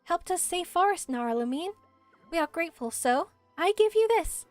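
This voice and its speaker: Woman voicing a high-pitched, earnest little forest spirit. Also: expressive, moderate pace, no echo, soft background music. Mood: earnest, grateful. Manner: quaint inverted phrasing, speaks ceremoniously. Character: small gentle forest spirit, solemn, earnest and childlike. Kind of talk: amateur voice acting of video game dialogue.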